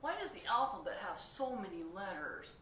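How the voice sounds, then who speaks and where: A woman voicing an excitable, whiny male pigeon who begs and pleads melodramatically in a storybook read-aloud. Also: a little high, a little slow, pitched above the performer's own voice. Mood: anxious. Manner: wheedling, begging, melodramatic. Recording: echoey room, distant mic, faint noise.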